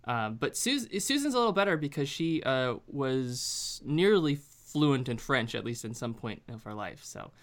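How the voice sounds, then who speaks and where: An American man hosting a podcast, chatting casually in a rambling, halting way with many restarts. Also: medium pitch, sing-song. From United States. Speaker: podcast host.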